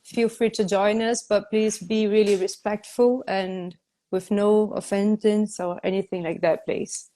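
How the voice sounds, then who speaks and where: A woman from Brazil with a Brazilian accent, hosting a live online conversation in halting, earnest speech, searching for her words. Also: medium pitch, flat delivery, dark tone. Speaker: host. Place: home in Spain. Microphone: video call.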